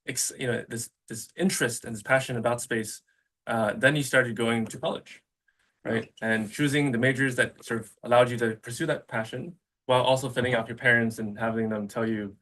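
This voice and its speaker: A man's voice: medium pitch, fairly even intonation, brisk, a bit bright, far from the mic. A man moderating a fireside chat, prompting his guest with fillers and restarts. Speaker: interviewer.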